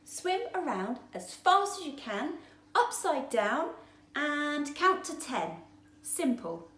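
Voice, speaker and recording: A woman's voice: sits high, sing-song, takes it slowly, sharp tone, voice pushed up, heavily acted. A woman voicing a tiny, eager fish who talks in a cheerful, encouraging way. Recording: roomy echo.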